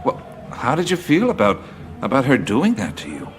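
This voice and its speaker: A man's voice: mid-range voice, wildly swooping pitch, moderate pace. A man acting a thoughtful, curious adventurer in a radio drama, speaking in a musing, hesitant way. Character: male adventurer, thoughtful, curious, easily spellbound.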